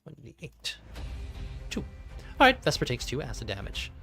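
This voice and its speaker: A man's voice: mid-range voice, huge pitch swings, normal speed, fairly bright tone, breathy edge, faint music behind. A man acting as game master in a live tabletop game, narrating turns in a chatty way and thinking rules through aloud. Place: home streaming setup.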